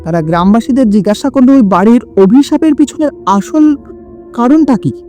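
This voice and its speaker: Lively woman narrating a horror tale. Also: fairly high pitch, normal speed, soft background music. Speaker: storyteller.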